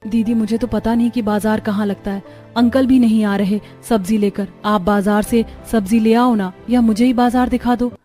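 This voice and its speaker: A woman voicing a gentle, dutiful young village daughter-in-law in a cartoon story, polite and earnest. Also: a little high, fairly even intonation, moderate pace, soft background music, close to an everyday voice. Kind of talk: cartoon moral story dialogue.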